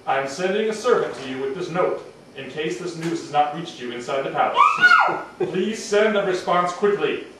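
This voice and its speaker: A man acts out a devout, anguished uncle for a puppet show. His high, swooping voice is solemn and pleading, and it rings in a very echoey room. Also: animated, moderate pace, close to an everyday voice. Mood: mournful, pleading. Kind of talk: amateur puppet show acting. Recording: echoey room, distant mic, faint noise.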